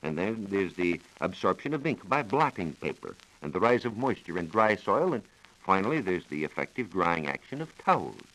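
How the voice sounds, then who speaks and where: A man's voice: low-pitched, sing-song, moderate pace, a bit bright, poor audio. An American man giving a formal, precise scripted science explanation as a reporter on a 1940s radio programme. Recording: vintage 1940s radio broadcast recording.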